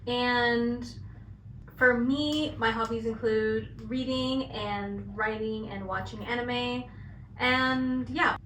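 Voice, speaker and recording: Young American woman, bright voice, fairly high, chatty and upbeat, echoey room, distant mic, faint hiss. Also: steady tone, slow. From United States. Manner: chatty, upbeat, uses "like" often. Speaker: vlogger co-host. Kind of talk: casual chat while coloring.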